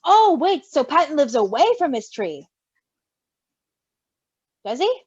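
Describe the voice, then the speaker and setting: A woman reading a story aloud in an expressive, giggly way, with casual asides where she reacts to it. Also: high voice, takes it slowly, no echo, highs cut off. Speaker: story reader and co-host.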